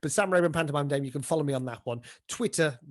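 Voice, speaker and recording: A man's voice: a little high, fast talker. A British man giving informal, chatty interview answers as a pantomime dame and director, often explaining things through acted-out examples.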